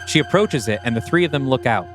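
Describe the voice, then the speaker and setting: Man narrating an audio play, mid pitch. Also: lively intonation, fast talker, music in the background. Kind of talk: scripted audio play narration, present tense.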